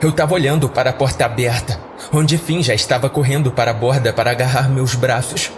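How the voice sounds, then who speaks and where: A man's voice: mid-range voice, fairly even intonation, fairly quick, a little hiss or hum. A man narrating a scripted horror story in a dramatic audiodrama style, as a podcast storyteller.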